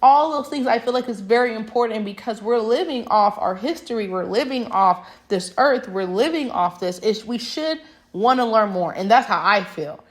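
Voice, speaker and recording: A woman's voice: a little high, moderate pace. A young woman talking straight to camera in a passionate, emphatic monologue that rambles with restarts. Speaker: vlogger.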